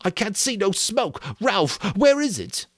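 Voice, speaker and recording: An earnest, bespectacled plump schoolboy, as a male narrator puts him on, pitched well above a grown man's voice. He talks eagerly and plods through his matter-of-fact ideas, and his lines bounce up and down. The sound is close and dry. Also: sing-song, measured pace, dry recording, full character voice. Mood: doubtful.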